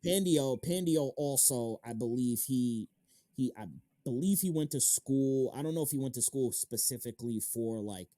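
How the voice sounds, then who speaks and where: A man in his thirties with an American accent, hosting a casual interview and telling stories in animated, slangy talk. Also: mid-range voice, normal speed, no echo. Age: 30s. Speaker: interviewer and channel host.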